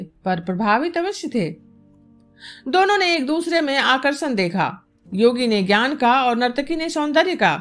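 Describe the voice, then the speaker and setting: Fairly high-voiced woman narrating literature. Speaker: audiobook narrator.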